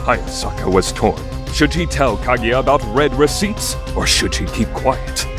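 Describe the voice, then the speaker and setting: A man performs a bombastic anime narrator. His delivery is theatrical and emphatic, swinging widely in pitch as if every small detail were grave. Music plays clearly behind him. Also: expressive, normal speed, natural voice. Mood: dramatic, explanatory. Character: dramatic, bombastic narrator of a romantic comedy anime. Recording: music under the voice.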